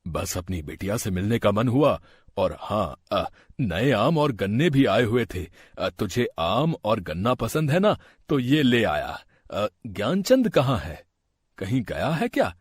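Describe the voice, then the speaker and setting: A man voicing a humble, affectionate older village father in a moral story, husky and slightly halting. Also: lowish voice, sing-song, dry recording, clean studio sound, exaggerated voice. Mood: warm, cheerful.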